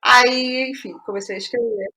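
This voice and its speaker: A woman with a high voice and a Brazilian accent speaks as a guest in a conversational interview. She is reflective and informal, circling back on her own phrases as she explains how she works. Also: steady tone, moderate pace, pushed and bright. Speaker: playwright guest. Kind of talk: conversational interview about creative process.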